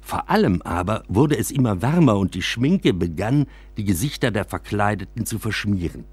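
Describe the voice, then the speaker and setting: A man reading a scripted literary story for radio as a first-person narrator, with expressive storytelling. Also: fairly low pitch, normal speed, husky, dry recording.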